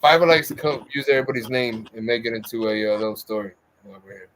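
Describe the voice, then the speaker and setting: Male, average pitch, teasing, informal group-chat banter. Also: quick pace, fairly bright tone, slight background noise, poor audio.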